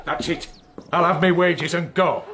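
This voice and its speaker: A man's voice: fairly high pitch, steady tone, a little slow, background noise, close to an everyday voice. An actor playing an indignant old male servant in a radio drama, aggrieved and complaining. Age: older.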